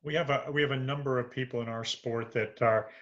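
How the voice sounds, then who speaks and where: Male interviewer, middle pitch, muffled lo-fi recording; restarts his phrases with repeated words. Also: fairly even intonation, a little fast, fairly bright tone. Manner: repeats words when starting sentences. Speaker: host, interviewer. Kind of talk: interview conversation. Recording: dull lo-fi sound.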